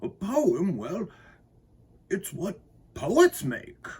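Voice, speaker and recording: A man's voice: high voice, huge pitch swings, very slow, darkish tone, slightly rough, voice pushed up, full character voice. A man voicing a worried, hesitant old grandfather in a storybook, unsure of his words.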